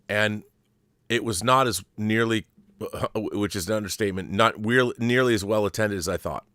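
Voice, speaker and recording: A man's voice: lowish voice, fairly bright tone, no echo, studio quality. A middle-aged American man co-hosting a casual film discussion, opinionated and emphatic, often posing rhetorical questions. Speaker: talk show co-host.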